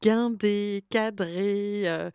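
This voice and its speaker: A woman guest chatting in a lively manner. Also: medium pitch, drawn-out words, smooth, no room sound, studio quality. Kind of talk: casual chat.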